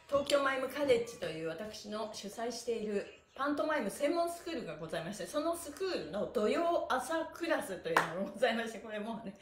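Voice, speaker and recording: Middle aged lady stretch instructor, really high swoopy voice, super peppy, echoey room. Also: slight background noise. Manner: exuberant, cheerleading, calling out chants. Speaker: stretching instructor, mime school director. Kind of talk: live-streamed exercise class with counting chants. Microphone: camera mic a few metres away. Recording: echoey small room, camera mic.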